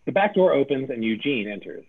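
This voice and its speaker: A man with a husky voice reading out stage directions as scripted narration at a table read. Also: medium pitch, sing-song, fairly quick, narrow, tinny audio.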